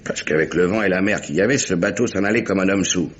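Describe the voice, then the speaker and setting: Un acteur jouant un capitaine de navire marchand anglais, bourru et impatient, au parler direct et familier, dans une dramatique radio policière française. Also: male voice, low voice, fast, close to an everyday voice. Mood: matter-of-fact recounting. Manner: blunt, colloquial, grumbling. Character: gruff, impatient male English merchant sea captain. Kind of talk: French radio mystery drama acting. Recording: dull, noisy vintage radio recording.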